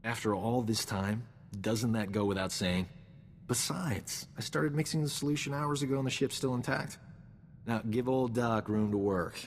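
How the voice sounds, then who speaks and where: Actor as a cocky doctor in a cavernous space. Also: male voice, mid-range voice, normal speed, a little hiss or hum, natural voice. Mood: boastful. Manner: cocky, self-admiring, smooth-talking. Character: vain, flirtatious male doctor, boastful charming rogue. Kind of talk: video game cutscene acting.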